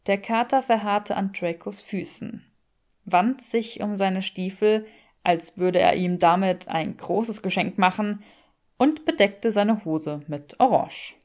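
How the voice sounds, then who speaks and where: A woman reading an audiobook, telling the story expressively in a measured, wry manner. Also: medium pitch, darkish tone. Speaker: audiobook reader. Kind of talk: expressive scripted fiction narration in German.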